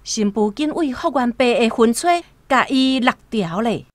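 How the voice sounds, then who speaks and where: A woman's voice: fairly high pitch, lively intonation, a little slow. A woman with a Taiwanese accent narrating a scripted literary radio story expressively. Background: soft plucked-string music.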